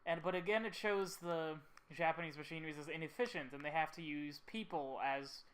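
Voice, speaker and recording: A man giving casual, slightly halting commentary as host of a video about an old film. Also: on the high side, a bit bright.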